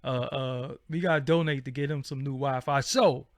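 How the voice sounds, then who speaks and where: A man's voice: medium pitch, steady tone, moderate pace, no echo. Casual male host on a dry mic. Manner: casual, asks questions with many fillers and restarts. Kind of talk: live-stream interview.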